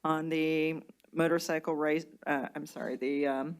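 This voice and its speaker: A woman presiding as judge, her voice pitched somewhat low. She reads charges and sets bonds in a brisk, procedural manner. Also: little pitch movement, clean tone. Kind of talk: courtroom bond hearing.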